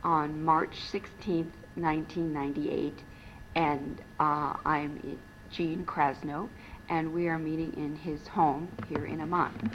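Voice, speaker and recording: A woman's voice: low voice, level tone, slow pace, lo-fi sound, slight background noise. A woman interviewer opening an oral history interview in her subject's home, speaking hesitantly with many fillers.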